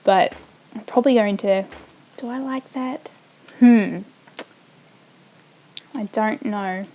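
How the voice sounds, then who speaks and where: A young woman from New Zealand with a New Zealand accent slightly softened by Australia, chatting on a casual gaming livestream and rambling off mid-sentence as she builds. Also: medium pitch, slow pace, highs cut off, slight background noise. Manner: hums and makes small random noises while working. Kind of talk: casual livestream chat while building in a game.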